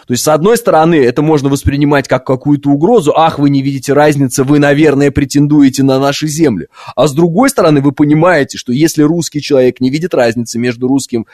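Higher-voiced Russian man hosting talk radio. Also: darkish tone, no echo, clean studio sound. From Orenburg region, Russia. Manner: conversational, rhetorical questions, repeats points. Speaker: radio talk show host. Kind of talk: live opinionated radio monologue. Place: radio studio. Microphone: close studio microphone.

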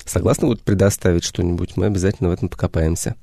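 A man guesting on a radio show, with a lowish, mellow voice heard close and dry. He explains things unhurriedly and stops often to find the right word. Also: steady tone, gravelly, no echo. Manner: unhurried, searching for words. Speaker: history educator, guest. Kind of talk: radio interview. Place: radio studio.

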